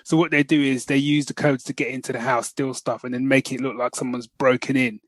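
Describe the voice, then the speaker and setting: A man co-hosting a podcast, telling stories in an animated, slangy, casual review style. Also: mid-range voice, brisk, no echo. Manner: animated storytelling with slangy asides. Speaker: podcast co-host. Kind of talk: casual chat, film review.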